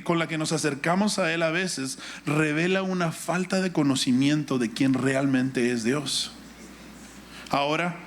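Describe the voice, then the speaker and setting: Man, Guatemalan accent, slightly high voice, instructive sermon delivery, repetitive emphasis, faint background noise. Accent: Guatemalan Spanish. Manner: didactic, uses rhetorical questions and repetition. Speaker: preacher. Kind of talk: sermon with Bible reading and personal anecdote.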